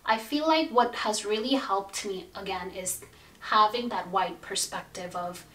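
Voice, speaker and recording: A woman's voice: medium pitch, a little slow, a bit bright, echoey room, far from the mic, poor audio. A young woman from India in her twenties, telling her story fluently and thoughtfully in an interview. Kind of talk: casual interview answers. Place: plain indoor room.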